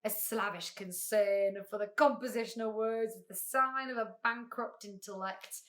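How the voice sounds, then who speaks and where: Woman putting on a booming, pompous humbug beetle. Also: normal speed, natural voice. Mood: furious. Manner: pompous, grandiloquent. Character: blustering, pompous male humbug, a big beetle-like con man.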